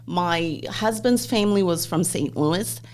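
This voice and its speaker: A woman's voice: lowish voice, a little slow, darkish tone. A woman in her forties from Zambia, hosting a podcast and telling her own life story in an open, reflective way. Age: 40s. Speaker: podcast host. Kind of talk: personal interview conversation.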